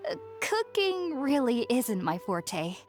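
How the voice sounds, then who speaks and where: A young woman scholar in a video game, with a fairly high voice. She sounds gentle and earnest, telling things in a measured, polite manner. Soft music sits faintly behind her. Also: big pitch swings. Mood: grateful, polite.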